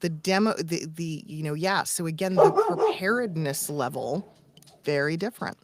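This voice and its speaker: A woman's voice: sits low, very animated, normal speed, very noisy. A woman co-hosting a casual podcast discussion, explaining things emphatically and reasoning through examples. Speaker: podcast co-host and voice-over coach.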